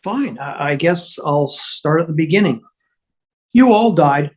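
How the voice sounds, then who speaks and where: A woman reading the part of a flustered, apologetic male otherworldly schemer, husky and halting as she stammers through explanations. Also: low voice, animated, darkish tone. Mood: resigned, apologetic. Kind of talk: amateur script table read.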